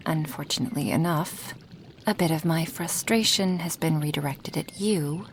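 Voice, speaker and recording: A woman voicing an affectionate female dragon who brews potions, in a soft, intimate ASMR roleplay with breathy half-whispers and sighs. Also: deep voice, a little hiss or hum, exaggerated voice. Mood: weary, confiding. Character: affectionate female dragon, a potion maker tending her human guest.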